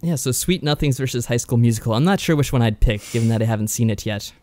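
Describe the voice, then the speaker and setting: A man's voice: mid-range voice, quick pace, darkish tone, no room sound. A man co-hosting a podcast, casually summarising and reviewing games in a dry, understated way. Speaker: podcast co-host.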